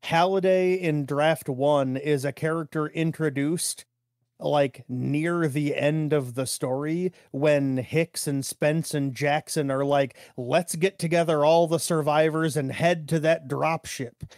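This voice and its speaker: A man co-hosting a podcast, casually talking through his notes in a halting way and often saying "like". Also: a little high, steady tone, darkish tone, no echo, clean studio sound. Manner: halting, peppered with "like". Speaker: podcast co-host.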